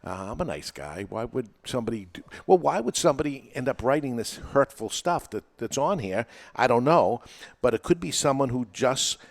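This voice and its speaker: A man's voice: mid-range voice, sing-song, moderate pace, creaky. A middle-aged man with a New England accent, hosting a podcast in a casual, chatty way, repeating words as he talks. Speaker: podcast host.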